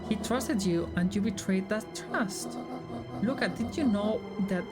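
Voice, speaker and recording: A woman's voice: medium pitch, measured pace. A woman streamer reading visual novel dialogue aloud in character. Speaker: streamer voicing game dialogue. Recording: game music behind the voice. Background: game music.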